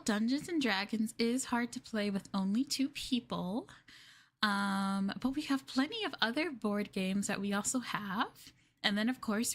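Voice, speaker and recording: A woman playing a clingy, sweetly possessive young girlfriend in an ASMR roleplay, with a coy, hesitant, coaxing delivery. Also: fairly high pitch. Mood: adoring, coy. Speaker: streamer performing ASMR roleplay.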